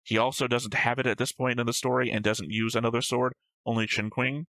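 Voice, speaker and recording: A man's voice: medium pitch, level tone, brisk, fairly bright tone, dry recording. A man hosting a reaction show, reading out notes and discussing them casually in a matter-of-fact, slightly pedantic way.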